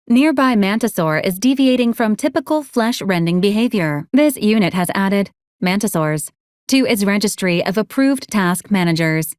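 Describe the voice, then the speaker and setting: A woman voicing a polite, literal-minded medical robot in a video game, speaking in formal, clinical, deadpan announcements. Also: medium pitch, no room sound, studio quality, close to an everyday voice. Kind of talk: scripted video game character lines.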